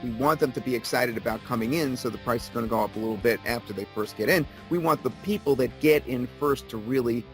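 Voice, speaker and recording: A man of ordinary pitch talks as a guest in an interview, selling his product with steady persuasion. He circles back to the key details to drive them home. Faint music plays behind him. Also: fast, hardly any pauses.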